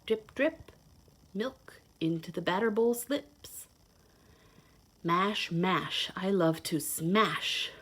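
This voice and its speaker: A woman reading a children's picture book aloud in a playful, expressive, sing-song way, adding simple asides to her young listeners. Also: lowish voice, drawn-out words, slightly rough. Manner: simple child-directed explaining. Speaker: children's read-aloud host, teacher.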